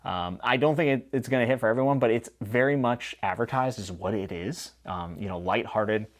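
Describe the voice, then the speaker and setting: A man with a fairly deep voice hosts a casual book wrap-up. He speaks informally and with enthusiasm, putting emphasis on his opinions as he chats to the viewer. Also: a little fast. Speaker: book review channel host.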